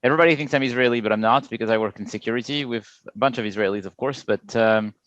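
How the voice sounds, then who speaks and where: A man's voice: mid-range voice, fast, no room sound, lo-fi sound. A middle-aged man with a French accent, a guest product manager chatting casually and explaining at length with frequent "uh" fillers. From France. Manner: explanatory, relaxed, many "uh" fillers. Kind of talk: casual podcast chat.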